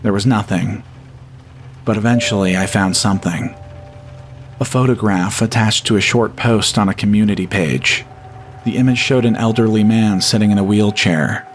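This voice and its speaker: A man narrating a scripted first-person horror story in a measured, solemn storytelling manner. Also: on the low side, steady tone, slight background noise. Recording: music bed behind the voice. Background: atmospheric music.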